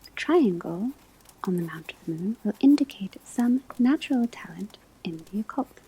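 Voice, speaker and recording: A woman whispering in a breathy, husky voice, delivering a scripted ASMR classroom lecture as a teacher, with deliberate, measured phrasing. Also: mid-range voice, animated, dark tone, low-quality recording. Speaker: ASMR performer playing a divination class teacher.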